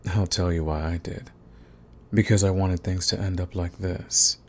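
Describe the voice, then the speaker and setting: A man's voice: deep voice, fairly even intonation, a little slow, a little hiss or hum. A man playing a cocky, flirty villain wooing an enemy in a teasing, intimate romance audio roleplay. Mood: coaxing, smitten.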